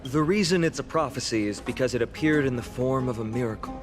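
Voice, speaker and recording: A man's voice: mid-range voice, music in the background, natural voice. Man playing an earnest, courteous young warrior. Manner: polite, earnest, measured phrasing. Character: earnest, courteous young male warrior, duty-bound and modest. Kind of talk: video game voice acting.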